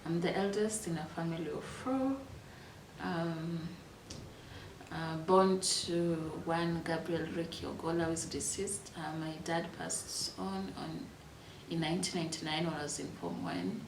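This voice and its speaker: A Kenyan woman in her thirties telling her life story in a reflective, unhurried way, with fillers and restarts. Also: fairly low pitch, distant mic, noisy background. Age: 30s. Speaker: interviewee. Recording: echoey room, voice from off-mic.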